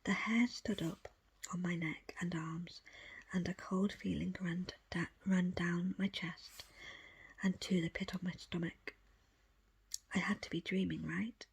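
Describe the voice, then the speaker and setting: A woman whispers a story in an ASMR style, her voice almost entirely breath with very little tone. She reads in the first person as an audiobook reader, hushed and measured, keeping the low-set delivery soft throughout. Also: fairly even intonation, vocal fry, husky, rough recording.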